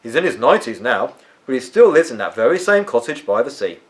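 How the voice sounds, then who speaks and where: A man's voice: mid-range voice, big pitch swings, a little fast, voice pushed up, natural voice. Man voicing Peyton, a weary, emphatic eccentric uncle. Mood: curious, earnest. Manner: irritated, musing, emphatic. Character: Uncle Peyton, weary, tetchy but kindly tall eccentric uncle. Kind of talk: storybook character voice.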